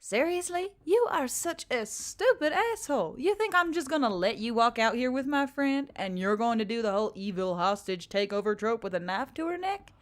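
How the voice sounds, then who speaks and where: A woman's voice: a little high, animated, moderate pace, few pauses, voice pushed up, close to an everyday voice. A woman voicing a brash, mocking action heroine in a narrated story, with music behind her. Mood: annoyed, mocking. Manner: mocking, foul-mouthed, cocky. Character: brash, sarcastic, swaggering action heroine named Emily.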